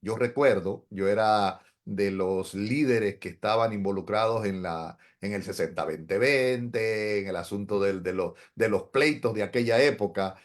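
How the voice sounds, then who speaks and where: A man's voice: on the low side, a little slow, hardly any pauses. A middle-aged man, a pastor, telling his story in an interview in an earnest, reflective way. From Dominican Republic. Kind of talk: interview testimony.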